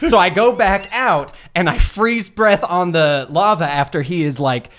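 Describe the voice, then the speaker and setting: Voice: mid-range voice, a little slow, fairly bright tone. A tabletop game player chatting casually and narrating game moves with playful boasting and some swearing.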